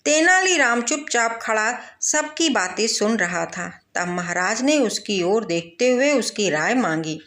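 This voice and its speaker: A woman's voice: fairly high pitch, lively intonation, unhurried, few pauses, fairly bright tone. A woman narrating a scripted moral folk tale as a storyteller.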